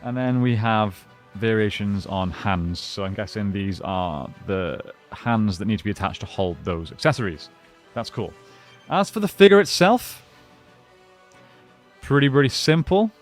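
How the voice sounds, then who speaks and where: A man's voice: fairly low pitch, expressive, unhurried, faint music behind. A man giving a casual unboxing and review, talking informally and thinking aloud as he goes. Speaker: toy reviewer and collector.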